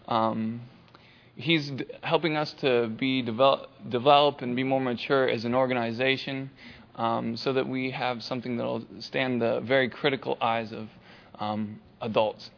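A man speaking on a church panel in a halting, searching and heartfelt way. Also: medium pitch, steady tone, vocal fry, lo-fi sound. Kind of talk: panel discussion remarks.

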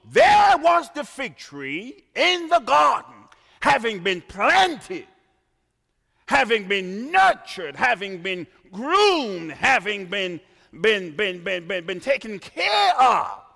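Animated preacher from Trinidad. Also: male voice, high voice, vocal fry. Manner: dramatic, swings from quiet asides to shouted emphasis. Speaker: pastor. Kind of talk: sermon. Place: church. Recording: reverberant church hall.

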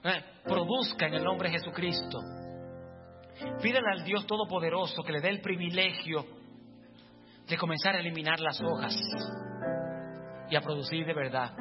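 A male pastor giving a fervent sermon in a church, at times rising to shouts. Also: a little high, normal speed, long pauses. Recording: long church reverb, dull lo-fi, faint music.

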